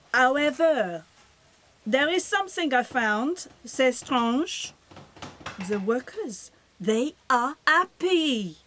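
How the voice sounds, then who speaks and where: A woman's voice: high-pitched, a bit bright, full character voice. A woman playing a snobbish French fashion designer in a radio play, with a French accent and a theatrical, dramatic delivery. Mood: wondering, humbled.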